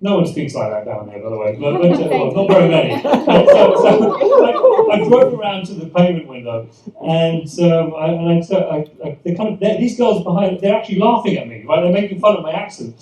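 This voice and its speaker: A man's voice: fairly high pitch, sing-song, fairly quick, dark tone. A British man, a pastor, telling humorous anecdotes to a church audience with frequent restarts, recorded from a distance in an echoey room. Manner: self-deprecating, anecdotal, many restarts. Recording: echoey room, mic far off, faint ambience.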